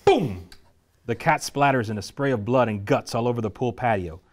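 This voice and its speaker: A man reading the stage directions aloud at a script table reading, steady and measured. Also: mid-range voice.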